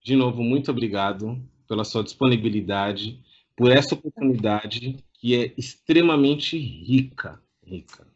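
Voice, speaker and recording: A man's voice: mid-range voice, measured pace, breathy edge, dull audio. A man with a Brazilian Portuguese accent hosting a casual interview show in an effusive, informal way. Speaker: show host.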